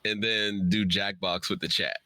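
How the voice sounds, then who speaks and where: A man with a fairly low but bright, forward voice, recorded dry and close. He is a tired livestream host chatting casually. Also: fairly even intonation, moderate pace, dry recording. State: fatigued. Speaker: live streamer and host.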